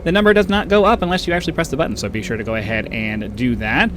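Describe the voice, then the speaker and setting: A man with a nasal voice hosting a casual let's-play, rambling and chatty, with a bass-boosted desktop microphone. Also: fairly even intonation, very fast, noisy background. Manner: rambling, chatty, with frequent uhs and little laughs. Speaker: gaming channel host. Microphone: desktop microphone with bass boosted by an equalizer.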